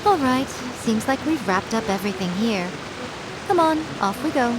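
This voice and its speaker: Lady doing a poised bossy shrine spirit woman for a game, teasing, kinda high and lively, music behind. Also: moderate pace, lightly acted, rough recording. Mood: dismissive. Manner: composed, teasing, commanding. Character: poised, sly, imperious female fox shrine maiden spirit. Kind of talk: video game voice acting.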